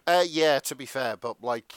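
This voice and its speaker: A man's voice: mid-range voice, normal speed, smooth, no echo. A man co-hosting a podcast in casual comedic banter, wry, self-deprecating and happy to swear. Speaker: podcast co-host.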